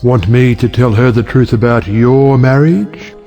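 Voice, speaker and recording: Man playing a sardonic, speechifying middle-aged gentleman. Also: mid-range voice, unhurried, faint music behind, close to an everyday voice. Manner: rhetorical, sardonic, declamatory. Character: witty cynical older uncle, a judge who lectures on marriage. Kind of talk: stage play audiobook acting.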